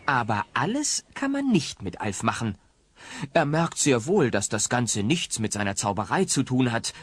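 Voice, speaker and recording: A man narrating a family audio play in a scripted storytelling style, with a husky voice. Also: mid-range voice, big pitch swings, normal speed, no room sound.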